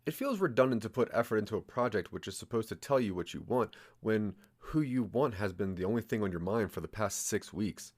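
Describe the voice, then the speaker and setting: A man's voice: on the low side, lively intonation, fairly quick, few pauses. A man narrating a scripted second-person story as the narrator of an audio drama.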